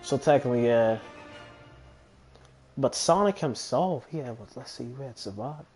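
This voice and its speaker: Male gaming commentator, medium pitch, casual and hesitant, rambles and self-corrects, music under the voice. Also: animated, moderate pace, a little mellow. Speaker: gaming video host.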